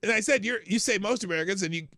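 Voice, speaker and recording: A man hosting a live stream in casual solo commentary, sarcastic and animated, swearing and muttering to himself between points. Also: high voice, very fast, dry recording, studio quality.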